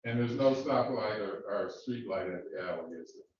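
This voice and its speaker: A man cross-examining a witness in a courtroom, questioning in a halting way with many fillers and restarts. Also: lowish voice, a little mellow, slight background noise. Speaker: defense attorney questioning a witness. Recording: echoey, distant, dull courtroom audio.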